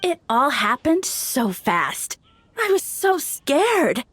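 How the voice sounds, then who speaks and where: A woman's voice: high voice, very animated, a bit bright, no echo, full character voice. A woman playing a shy, lovestruck young townswoman in a video game, dreamy and hesitant. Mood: dreamy, wistful. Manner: dreamy, hesitant, breathless pauses.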